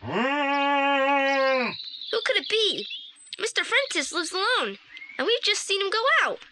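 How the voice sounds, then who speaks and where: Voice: very high voice, sing-song, a little slow, background noise, exaggerated voice. An actor playing an eager, plain-spoken boy detective's sidekick in a 1980s audio play, informal and direct. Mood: eager, puzzled.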